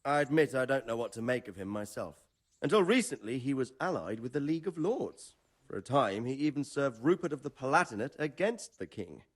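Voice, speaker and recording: A measured, fatherly medieval nobleman. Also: mid-range voice, sing-song, slightly rough. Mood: explaining. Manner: measured, earnest, explanatory. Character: wary fatherly medieval nobleman advising his son. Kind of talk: video game cutscene acting.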